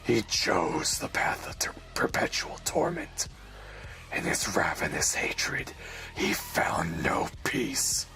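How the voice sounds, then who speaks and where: A man voicing an ominous narrator of a dark fantasy legend, reading gravely in a rough, breathy whisper. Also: deep voice, big pitch swings, over music, heavily acted. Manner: slow, grave, dramatic reading.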